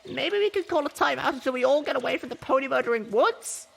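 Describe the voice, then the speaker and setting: A man's voice: very high voice, lively intonation, fast talker, gravelly, fairly bright tone, in a large hall, voice pushed up, full character voice. A man voicing an awkward, anxious young female pony in an audiobook-style story reading. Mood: awkwardly pleading.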